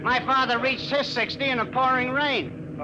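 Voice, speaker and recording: A folksy, talkative male passenger speaks in a strikingly high voice. He is breezy and matter-of-fact, in 1950s radio style amid a noisy crowd. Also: fairly even intonation, normal speed. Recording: vintage radio, very loud crowd.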